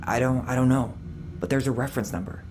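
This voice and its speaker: A man's voice: medium pitch, fast, background noise, natural voice. An actor playing a sardonic, determined and impatient young man in naturalistic video game dialogue. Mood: puzzled, intrigued. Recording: music behind the voice.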